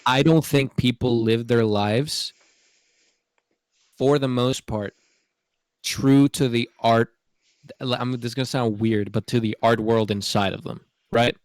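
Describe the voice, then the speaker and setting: A man's voice: medium pitch, steady tone, measured pace, pauses often, no echo. A man talking casually on a podcast, earnestly explaining his view and often checking that the listener follows. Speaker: podcast host. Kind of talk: casual opinion discussion.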